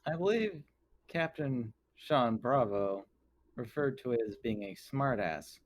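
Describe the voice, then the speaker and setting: A man voicing a precise, dryly sarcastic female colony crew member in a tabletop game, speaking in a formal, measured, deadpan way. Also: mid-range voice, pitched above the performer's own voice. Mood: dry, matter-of-fact. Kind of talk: in-character tabletop roleplay.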